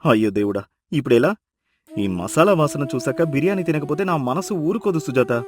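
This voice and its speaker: A man playing a lazy, food-loving young village husband with a whiny, exaggerated manner in an animated moral story. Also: fairly high pitch, brisk, slight background noise. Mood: whiny pleading. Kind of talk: acted moral story dialogue.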